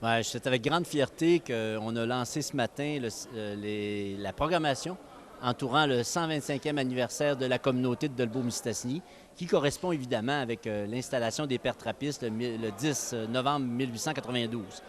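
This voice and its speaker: A man with a Québécois French accent delivers a formal public announcement as a local official. He speaks at an ordinary pitch and stumbles often, filling gaps with hesitant sounds. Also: moderate pace. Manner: hesitant, many fillers. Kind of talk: press announcement.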